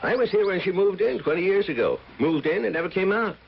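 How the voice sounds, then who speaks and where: A man's voice: high-pitched, lively intonation, fast talker, creaky, breathy edge, exaggerated voice. Swooping, nosy male neighbour. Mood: nosy, sceptical. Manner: folksy, chatty, colloquial. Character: nosy, stubborn working-class neighbour walking his dog. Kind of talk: old-time radio drama acting. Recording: lo-fi old radio recording with hiss.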